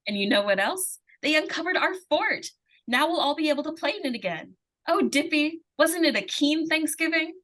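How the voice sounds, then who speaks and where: A woman playing an earnest, excitable young daughter in an old-time radio suspense drama. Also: high-pitched, moderate pace. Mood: cheerful, excited.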